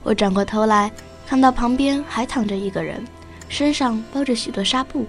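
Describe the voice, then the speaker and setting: A woman's voice: fairly high pitch, moderate pace, faint music behind, lightly acted. An actress playing a young, curious, bookish schoolgirl in a Chinese radio drama. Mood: sombre narrating.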